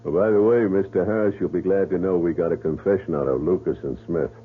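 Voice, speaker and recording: An actor playing a wry, matter-of-fact police detective in a 1970s radio drama. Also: male voice, deep voice, quick pace, slight background noise, close to an everyday voice. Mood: laid-back. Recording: husky, tinny old radio broadcast.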